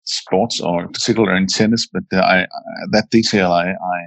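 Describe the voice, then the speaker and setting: A Danish man in his fifties, a lawyer speaking English as a guest, pausing and repeating words as he searches for phrasing. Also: deep voice, fairly even intonation, gravelly, fairly bright tone, no room sound. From Denmark. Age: 50s. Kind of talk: casual interview chat.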